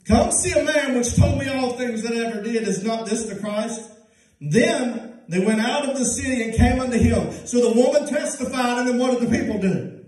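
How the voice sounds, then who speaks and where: A man in his thirties with a Southern US accent, preaching a sermon at a church pulpit. He swings from loud, high-pitched preaching to low, soft passages. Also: moderate pace. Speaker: pastor. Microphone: handheld mic, filmed from far off. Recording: echoey church from far off.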